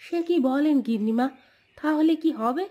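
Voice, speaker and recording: Woman acting a high-voiced, humble cartoon maidservant. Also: moderate pace, muffled recording, natural voice. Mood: deferential, earnest. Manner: respectful, eager to please. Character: humble, deferential village maidservant, devoted mother.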